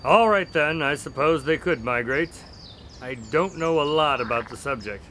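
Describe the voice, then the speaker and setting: Bright, higher-voiced affable doctor sidekick. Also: male voice, expressive, normal speed, slight background noise. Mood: mildly exasperated. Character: affable doctor sidekick to a detective, narrating cases. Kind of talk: radio drama acting and storytelling.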